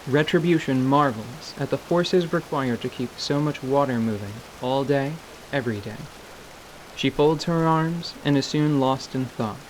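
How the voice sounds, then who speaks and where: A man narrating scripted fantasy in a measured storytelling manner. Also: mid-range voice, slight background noise, rough recording.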